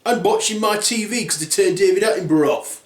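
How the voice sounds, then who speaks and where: Man putting on a loud, indignant Daddy Bear, pitched up. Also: fairly quick, roomy sound, lightly acted. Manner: blunt, exclamatory, colloquial. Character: gruff, indignant, sweary Daddy Bear, adult male.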